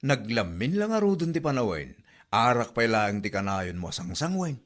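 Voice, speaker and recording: A man's voice: medium pitch, sing-song, normal speed, natural voice. A man with an Ilocano accent voicing Lando, a drinking buddy who teases his friend. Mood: teasing. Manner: teasing, chummy.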